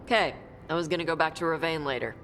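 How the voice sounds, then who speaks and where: A gruff, clipped dragon hunter. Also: female voice, low voice, quick pace, fairly bright tone, a little hiss or hum. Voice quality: clipped, blunt, dismissive. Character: blunt young dragon hunter, terse and gruff. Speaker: treasure hunter. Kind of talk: video game cutscene dialogue.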